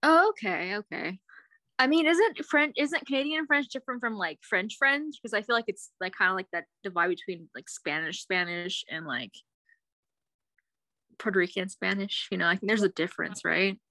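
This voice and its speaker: A woman's voice: mid-range voice, lively intonation, moderate pace, bright, forceful tone, no echo. A young woman in casual chat, encouraging and full of "like" and "you know" fillers.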